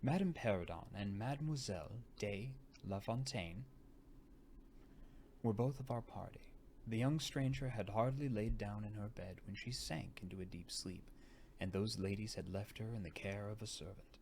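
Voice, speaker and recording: A man with a fairly low voice narrates an old gothic tale. His delivery is theatrical and measured as scripted storybook reading. Faint noise runs behind him. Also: darkish tone. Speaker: audiobook reader.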